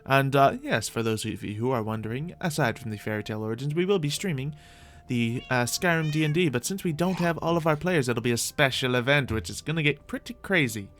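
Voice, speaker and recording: A man's voice: mid-range voice, brisk, fairly bright tone. A man doing live gaming stream commentary with playful roleplay, rambling along in a playful, mock-grandiose way. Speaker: game streamer.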